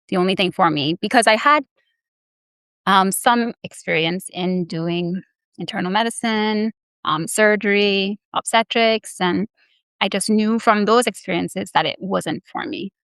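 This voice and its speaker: A woman's voice: medium pitch, a little slow, fairly bright tone, smooth, no echo. A young woman from the Bahamas and Barbados, answering interview questions earnestly with many hesitant fillers. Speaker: guest, anesthesiology resident.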